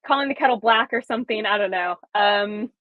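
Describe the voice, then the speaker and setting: A woman's voice: mid-range voice, brisk, a little hiss or hum. Wry woman on thin phone-like audio. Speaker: host. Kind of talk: casual fan chat.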